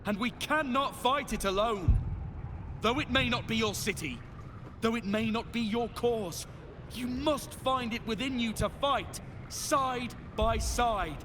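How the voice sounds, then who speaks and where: An actor playing an earnest military leader in a fantasy game, oratorical and stirring, rallying troops. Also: male voice, extremely high pitch, a little slow, noisy background. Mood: rousing resolve. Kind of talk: scripted rallying speech.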